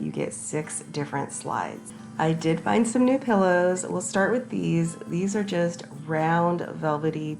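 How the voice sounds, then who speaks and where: Raspy low-voiced woman, hoarse and chatty. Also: a little mellow. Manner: chatty, enthusiastic, addresses viewers as friends. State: hoarse from a sinus infection. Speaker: shopping vlogger. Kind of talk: casual shop-with-me voice-over.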